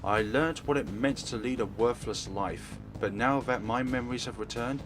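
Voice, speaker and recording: A man voicing a cold, grieving boy killer from a visual novel, grave and deliberate in his speech. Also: mid-range voice, lightly acted. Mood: grave, resigned. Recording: music playing behind.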